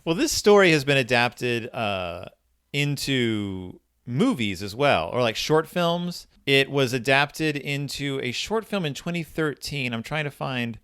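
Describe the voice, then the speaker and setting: A man co-hosting a podcast and casually discussing a story, with halting phrasing and repeated words. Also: medium pitch, lively intonation, a bit bright, smooth, dry recording, studio quality. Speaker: podcast host.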